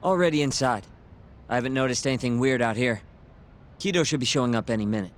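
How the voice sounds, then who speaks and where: A man's voice: medium pitch, quick pace, lightly acted. A man playing an alert, easygoing young member of a detective crew in a video game cutscene. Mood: brisk, upbeat.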